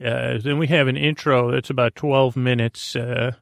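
Creaky-voiced man, soothing and flat in pitch. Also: no echo, clean studio sound. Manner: meandering, hesitant, halting, repeating words; soothing, lulling. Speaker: podcast host. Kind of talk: rambling sleep podcast monologue.

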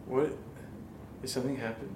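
A man's voice: medium pitch, fairly even intonation, moderate pace, close to an everyday voice. An actor playing a serious, hesitant man facing eerie events in a naturalistic audio drama, in an echoey, noisy recording. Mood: puzzled, probing.

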